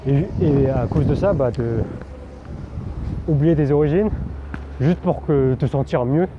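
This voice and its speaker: A man's voice: medium pitch, unhurried. Rambling man talking while cycling outdoors. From France. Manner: rambling and candid, many fillers and restarts, occasional swearing. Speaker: vlogger. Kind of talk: casual spoken monologue. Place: outdoors while riding a bike. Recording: dull outdoor recording with background noise.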